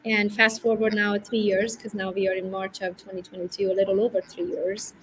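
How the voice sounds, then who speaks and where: A middle-aged woman with a Romanian accent, a guest in a casual interview on a video call. She talks briskly and with confidence, checking in with "right?" after her points. There is a faint noise behind her voice, at an even middle pitch. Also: rough recording. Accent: Romanian Hungarian. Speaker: guest real estate broker.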